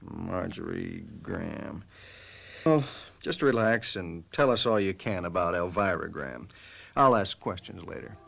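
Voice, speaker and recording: Low gravelly police lieutenant, businesslike, tactful, halting, radio drama. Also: male voice, expressive, fairly bright tone, exaggerated voice. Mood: accommodating. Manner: hesitant, measured, official. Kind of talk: golden-age style radio drama acting.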